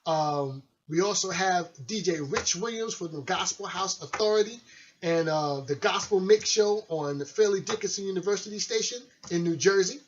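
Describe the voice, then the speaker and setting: A man on the higher side of the male range, hosting a radio show in a loose, chatty and friendly way. The recording is dull and lo-fi, with the top end rolled off. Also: normal speed, slight background noise. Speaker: radio host and DJ. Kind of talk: casual radio show talk.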